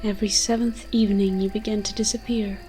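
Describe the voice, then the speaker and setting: A woman's voice: mid-range voice, flat delivery, quick pace, warm tone, faint music behind, natural voice, rough recording. A woman voicing an ancient, moss-covered forest demon in an audio roleplay, tender and protective, speaking in solemn, poetic, archaic storytelling phrases. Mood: sorrowful, foreboding.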